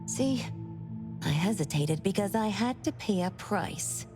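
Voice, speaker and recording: A woman's voice: medium pitch, animated, a little slow, lightly acted. Woman acting dramatic shut-in manga artist, music behind. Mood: animated storytelling. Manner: dramatic, exclamatory. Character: excitable reclusive woman manga artist, dramatic, self-mocking. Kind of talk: video game voice acting.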